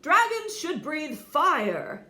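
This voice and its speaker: A woman voicing a stern, demanding father dragon in a storybook, emphatic and forceful. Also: sits high, very animated, extremely slow, reverberant, voice pushed up, exaggerated voice. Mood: indignant.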